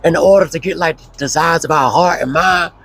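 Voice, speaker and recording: Man guest, hoarse and husky, fairly high voice, relaxed storytelling, faint music underneath. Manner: relaxed, slow, with frequent fillers. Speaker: interview guest. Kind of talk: casual storytelling interview.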